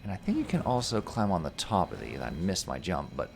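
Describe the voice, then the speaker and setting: A man, a little hoarse, casually commentating a video game and explaining puzzles step by step with jokes. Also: deep voice, sing-song, a little fast, faint music behind. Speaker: let's play commentator.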